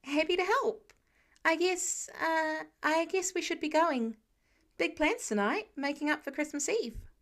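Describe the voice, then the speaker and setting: Woman voicing an awkward, high-pitched teenage boy hero. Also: measured pace. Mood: awkwardly cheerful. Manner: hesitant, stumbling over words. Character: awkward, earnest teenage boy superhero, eager and polite.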